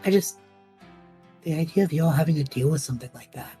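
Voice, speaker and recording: A woman playing a restless, idealistic young male traveler with a husky voice in an audio drama, casual and earnest. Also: sits low, quick pace, mellow, soft background music, lightly acted. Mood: wistful. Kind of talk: scripted audio drama acting.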